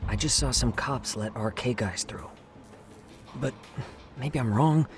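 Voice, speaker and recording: A man's voice: mid-range voice, unhurried, faint music behind, lightly acted. Casting: male actor, young partner in a crime game, casual but watchful, mid-range voice. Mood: alert, wry. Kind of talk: dramatic video game voice acting.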